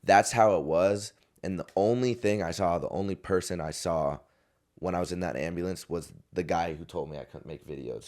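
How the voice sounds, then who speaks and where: A man's voice: low voice, a little mellow. A man in his twenties, a podcast guest, telling a story in a loose, rambling way, with many restarts and fillers. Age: 20s. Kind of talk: casual storytelling. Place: podcast studio.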